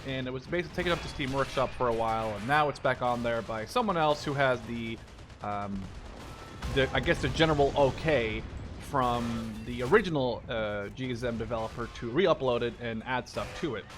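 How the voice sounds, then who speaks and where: A man's voice: medium pitch, a little fast, over music. A man in his twenties from the Netherlands, a native Dutch speaker, giving casual, rambling and informal commentary over gameplay. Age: 20s. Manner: rambling, digressive, informal with mild swearing. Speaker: gaming video creator.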